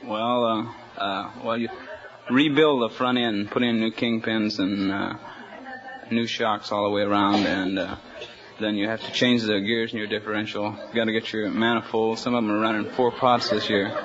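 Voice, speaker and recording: A man in his twenties from Oklahoma, a quiz contestant giving halting, folksy answers. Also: fairly low pitch, fairly even intonation, fairly bright tone. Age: 20s. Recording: dull 1950s broadcast recording with studio audience.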